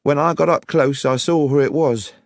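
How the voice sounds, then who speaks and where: A man voicing an anxious, deferential young country woman with rustic, halting speech, in an audiobook. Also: fairly high pitch, no echo, clean studio sound, pitched above the performer's own voice, lightly acted. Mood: anxious, earnest. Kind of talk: audiobook character voice.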